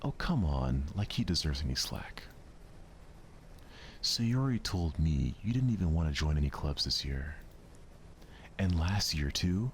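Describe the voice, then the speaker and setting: A man voicing a feisty, scornful teenage schoolgirl from a visual novel, bluntly scolding in soft ASMR style. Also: extremely low pitch, sing-song, unhurried, long pauses, slight background noise, heavily acted.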